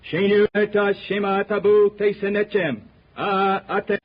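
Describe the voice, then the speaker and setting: An actor playing a solemn, loyal warrior leader in a 1930s radio serial, declaiming in archaic, formal speech. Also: male voice, high-pitched, steady tone, normal speed, natural voice. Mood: ceremonial, commanding. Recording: muffled old 1930s radio recording.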